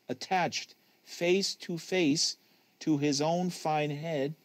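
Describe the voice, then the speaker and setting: A man's voice: fairly high pitch, darkish tone, no room sound. A man reading a literary novel aloud in a live reading, leaning on phrases for emphasis.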